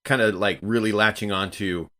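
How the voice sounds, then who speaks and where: A man chats casually as a player on a gaming podcast. His voice is deep, well below the usual male pitch. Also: fairly even intonation, normal speed, fairly bright tone. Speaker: player on an actual-play podcast. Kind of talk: casual chat.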